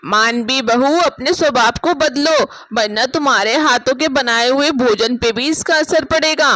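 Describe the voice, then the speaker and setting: A woman voicing a kindly, long-suffering older mother-in-law in a cartoon moral story, plaintive and gently admonishing. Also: high voice, unhurried, dry recording, pitched below the performer's own voice, poor audio.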